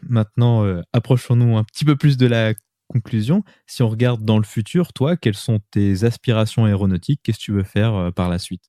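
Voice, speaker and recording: A man's voice: fairly low pitch, fairly quick, warm tone, no room sound, studio quality. A man hosting an aviation podcast, asking his guest informal questions. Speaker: podcast host. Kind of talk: interview questions.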